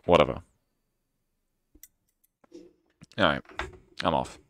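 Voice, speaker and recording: Young guy streamer, really deep voice, rambly sarcastic jokes, dead dry mic. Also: takes it slowly, vocal fry, fairly bright tone, no room sound. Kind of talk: casual end-of-stream chat.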